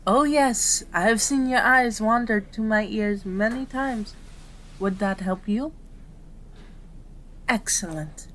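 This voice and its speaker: A woman voicing a gentle, patient female kitsune doctor in an ASMR roleplay, with a soothing, polite, measured manner. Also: a little high, a little hiss or hum, poor audio. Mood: gentle, kindly.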